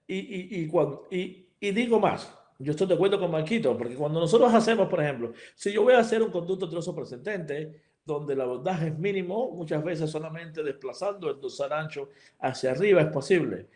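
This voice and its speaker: A man's voice: a little high, animated, fairly quick, a little mellow. A middle-aged man from Cuba giving a live lecture to fellow vets. He explains step by step, restarts his sentences often and drops English terms into his talk. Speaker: veterinary surgeon lecturer.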